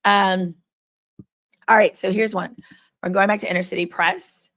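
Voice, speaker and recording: A woman's voice: mid-range voice, steady tone, a little slow, a bit bright. A woman hosting a casual livestream, giving informal, opinionated commentary and reading comments and updates aloud in a conversational way. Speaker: livestream host.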